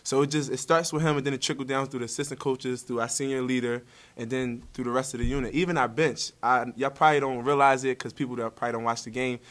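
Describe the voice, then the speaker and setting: A man's voice: mid-range voice, fairly even intonation, fast, fairly bright tone. A young man, a college basketball player, answering questions at a post-game press conference in an upbeat, casual way, seated at a table mic. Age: young adult. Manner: upbeat, easygoing, casual slang. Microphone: table mic in front.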